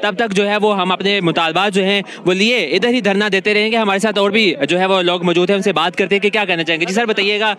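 Fast bright-voiced male street reporter. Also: high-pitched, fairly even intonation. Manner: quick, repetitive filler phrasing. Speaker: field reporter. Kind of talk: live street news report. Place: outdoor protest on a city street. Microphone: handheld news mic.

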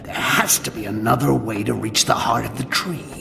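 An actor playing a sly, menacing, foul-mouthed goblin assassin in a video game, with a gravelly voice and a sardonic, muttering, snarling delivery. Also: male voice, mid-range voice, steady tone, moderate pace, in a large hall, music in the background. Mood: scornful. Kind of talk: video game character acting.

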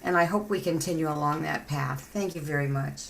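A woman with a fairly low voice speaks as a candidate at a public forum. She goes through her points in a measured, orderly manner. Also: creaky, slight background noise. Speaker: city council candidate.

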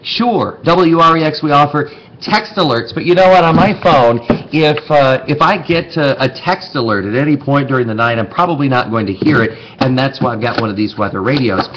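A man's voice: medium pitch, expressive, fairly quick. A middle-aged American man giving an informal, step-by-step demonstration to camera in a conversational, explanatory way, as a TV meteorologist would. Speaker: TV meteorologist presenting a how-to. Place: indoor TV station office with desk and monitors. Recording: clearly noisy room sound, clicks.

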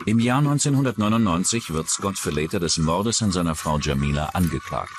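A man narrating a true-crime documentary from a script in a measured, dramatic way. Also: low voice, animated. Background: music behind the voice.

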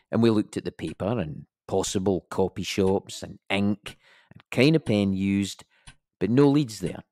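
An actor playing a measured, formal investigator of a suspicious death in an audio drama. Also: male voice, lowish voice, darkish tone, no room sound, studio quality. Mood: puzzled, methodical. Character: dry, officious male investigator of a suspicious death.

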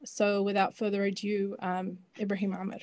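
A woman's voice: medium pitch, completely flat delivery, moderate pace, darkish tone. Young woman introducing a speaker. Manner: loose, filler-heavy introduction. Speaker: event host. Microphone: laptop webcam mic on a video call.

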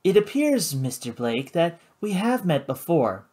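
A man voicing an elegant, cold woman who runs a casino, speaking in a poised, measured, formal way. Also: fairly high pitch, sing-song, a little mellow, pitched above the performer's own voice. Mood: icy, commanding.

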